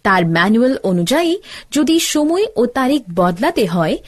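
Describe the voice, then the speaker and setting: A woman's voice: on the high side, sing-song, moderate pace. A woman playing an older-sister teacher, giving step-by-step instructions in a scripted cartoon tutorial. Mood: instructive. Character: older-sister teacher explaining gadgets to a child.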